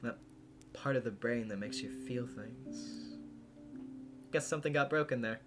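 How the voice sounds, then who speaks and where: Performer as dry, low-key young woman, music behind. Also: unhurried, lightly acted. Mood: guarded, flat. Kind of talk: visual novel voice acting.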